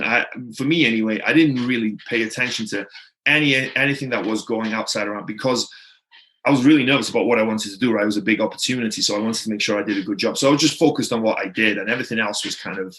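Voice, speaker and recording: A man's voice: lowish voice, quick pace, fairly bright tone, poor audio. A man from Wales with a Welsh accent, a native Welsh speaker, telling his story as a podcast guest in a rambling, casual way full of "like" and "right?". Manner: rambling, filler-heavy, frequent "right?" and "like".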